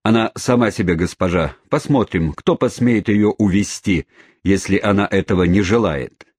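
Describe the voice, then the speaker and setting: A brash, clipped rogue, curt and dismissive, as a male reader puts him on. He talks in quick, short phrases with a husky voice. The sound is dry and close. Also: low-pitched, darkish tone, dry recording.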